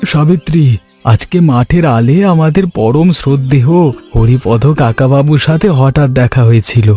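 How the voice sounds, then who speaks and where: A man's voice: medium pitch, normal speed, warm tone, faint music behind, lightly acted. A man playing an earnest, humble village farmer in a cartoon story, speaking respectfully. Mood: sincere. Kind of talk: Bengali cartoon voice acting.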